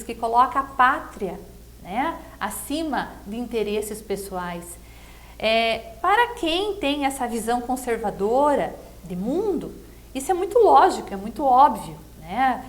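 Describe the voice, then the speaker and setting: A middle-aged Brazilian woman with a high-pitched voice speaks in a conversational interview. She explains her views earnestly, as a candidate would, and keeps checking in with short tag questions. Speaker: political pre-candidate being interviewed.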